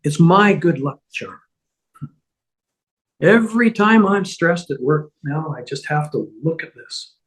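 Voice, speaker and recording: A man playing a mild, grateful father in a comedy table read, gentle and halting. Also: a little high, animated. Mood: grateful, sincere.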